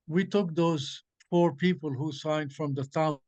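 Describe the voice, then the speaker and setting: A man from the Galilee with an Arabic accent, a native Arabic speaker, asking pressing questions in a halting way, with many fillers. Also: fairly high pitch, steady tone, a little mellow, no room sound, highs cut off. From Galilee, Israel. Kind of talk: impassioned questioning in an online gathering.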